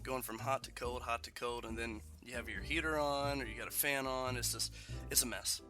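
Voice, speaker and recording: A man's voice: medium pitch, fairly even intonation, fairly quick, over music. A man hosting a casual podcast interview, congested from a cold, chatty and laughing between points. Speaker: podcast host.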